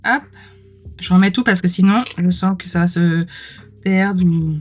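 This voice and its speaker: A woman's voice: on the low side, a little slow. A woman who is a little under the weather, chatting informally and enthusiastically as she hosts an unboxing. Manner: gushing, informal, exclaims often. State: mildly ill, clearing throat. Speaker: host of a tarot unboxing channel. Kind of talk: casual unboxing commentary. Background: music.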